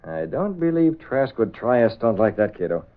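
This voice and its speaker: An actor playing a shrewd, thoughtful newspaper publisher and secret vigilante in old-time radio drama style. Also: male voice, medium pitch, big pitch swings, moderate pace, close to an everyday voice. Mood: deliberating. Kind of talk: 1930s-40s radio drama acting. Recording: muffled, narrow old radio recording.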